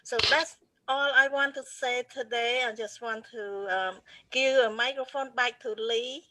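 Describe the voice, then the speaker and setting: A woman's voice: fairly high pitch, fairly even intonation, a little slow, background noise. A woman in her fifties with a Cambodian accent, introducing herself earnestly on a video call. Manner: earnest, hesitant with fillers. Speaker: co-host.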